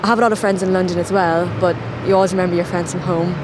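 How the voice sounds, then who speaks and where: A woman giving relaxed, chatty answers in a street interview outdoors. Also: lowish voice, very fast. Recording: outdoors with traffic noise.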